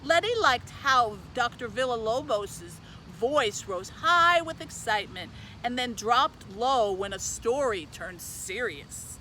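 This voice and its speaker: A woman's voice: on the high side, expressive, slow pace, pushed and bright, a little hiss or hum, low-quality recording. A woman speaking as a youth librarian, presenting and reading a children's book aloud, recorded on location.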